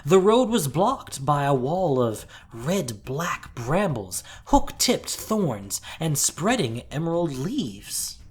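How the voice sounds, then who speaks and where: A woman narrating fantasy fiction in a deliberate, theatrical and intense way. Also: low voice, sing-song, a little mellow, breathy edge.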